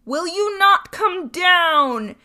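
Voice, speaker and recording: A woman's voice: mid-range voice, a little slow, close to an everyday voice. Woman reading as Bluebeard, a bawling cruel nobleman. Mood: bellowing. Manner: loud and bawling.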